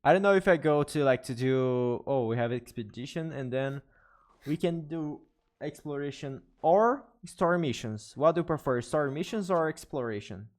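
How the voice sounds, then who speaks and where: A man, a native Portuguese speaker with an accent, chatting casually as a game streamer in halting, repetitive phrases. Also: on the high side, expressive. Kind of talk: casual live stream chat.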